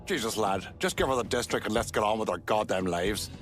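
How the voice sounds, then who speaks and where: An actor playing a hard-nosed, exasperated Irish gangster in a video game, blunt and impatient. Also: male voice, fairly low pitch, a little fast, a bit bright, faint music behind, close to an everyday voice. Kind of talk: video game cutscene acting.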